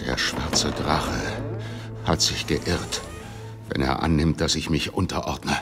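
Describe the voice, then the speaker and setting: A man's voice: very deep voice, steady tone, takes it slowly, over loud music, exaggerated voice. A man acting a stern, grieving patriarch out for revenge, formal and commanding, in a German radio drama. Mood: cold resolve. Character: grieving, dignified, vengeful Chinese businessman, a stern patriarch. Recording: long hall reverb.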